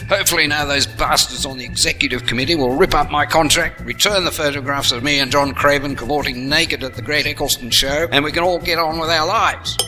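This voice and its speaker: A fed-up, weary male narrator, acted by a man with a slightly high voice. He grumbles deadpan through a comedy audio drama, with music clearly behind. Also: fairly quick, hardly any pauses, long reverb tail, lightly acted, poor audio. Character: weary, foul-mouthed actor-narrator fed up with the show.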